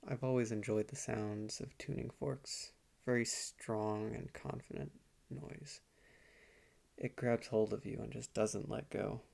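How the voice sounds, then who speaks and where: Man playing a slow, gravelly, hypnotic therapist. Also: lowish voice, little pitch movement, a little mellow, full character voice. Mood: calm, guiding. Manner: slow, soothing, hypnotic, deliberate. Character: calm, probing male psychotherapist guiding a dreamscape session. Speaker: ASMR performer. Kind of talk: ASMR therapy roleplay.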